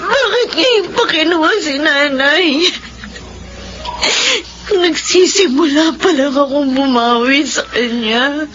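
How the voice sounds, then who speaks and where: An earnest, emotional grown daughter, with a slightly high voice and an unhurried delivery. The sound is dull and lo-fi, with music playing underneath. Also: female voice, fairly bright tone, slight background noise. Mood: weeping. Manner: heartfelt, earnest, weeping in grief scenes. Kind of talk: radio drama acting and first-person narration. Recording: dull lo-fi radio with music behind.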